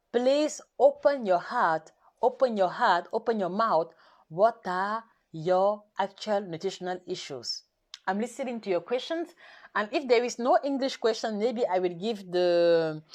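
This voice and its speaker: A woman whose first language is French, hosting a live nutrition session in careful, halting English full of restarts, coaxing her viewers directly. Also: medium pitch, darkish tone. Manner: halting, self-correcting English with many restarts and fillers. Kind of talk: live stream chat to viewers.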